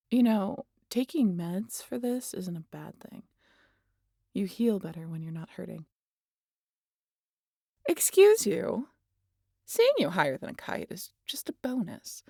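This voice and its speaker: A woman's voice: medium pitch, creaky, mellow, no room sound, heavily acted. A woman playing a teasing, sardonic immortal vampire in an audio roleplay, scolding in a dry, casual, sweary way with protective concern. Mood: coaxing, wry. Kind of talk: intimate audio roleplay acting.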